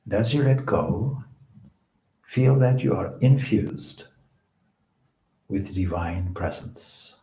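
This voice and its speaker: A man leading a guided meditation as a spiritual teacher, speaking in measured short phrases with pauses between them. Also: sits low, lively intonation, mellow. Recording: echoey room.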